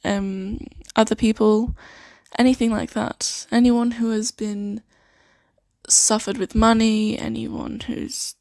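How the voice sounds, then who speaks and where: Woman as flustered, creaky-voiced female sanctuary worker. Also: a little high, level tone, darkish tone, dry recording. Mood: friendly, hesitant. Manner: hesitant, halting, awkwardly giggly. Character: friendly, flustered young female sanctuary worker named Claire. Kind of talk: improvised fantasy roleplay ASMR.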